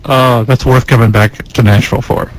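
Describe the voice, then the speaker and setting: A male radio host in a studio, upbeat and quick to laugh, prompting his guest with questions. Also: mid-range voice, fairly even intonation, poor audio. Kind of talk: radio interview.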